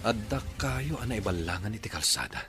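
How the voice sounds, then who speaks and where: A man voicing a bold adult man in an audio drama who faces down armed robbers with forceful, defiant shouts. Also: on the low side, big pitch swings, fairly quick, loud music behind the voice. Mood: wary.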